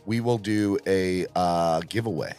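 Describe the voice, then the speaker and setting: Lower-voiced guy hosting a fan podcast, voice just back, pushy and animated, faint music under. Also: smooth. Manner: animated, jumps into high put-on voices for quick bits. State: voice just recovered from hoarseness. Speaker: podcast host. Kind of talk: casual live podcast chat.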